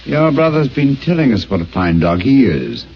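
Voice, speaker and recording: An actor playing a brilliant, composed detective, speaking in a measured, precise and authoritative way in a 1940s radio play. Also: male voice, medium pitch, sing-song. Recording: muffled old radio broadcast.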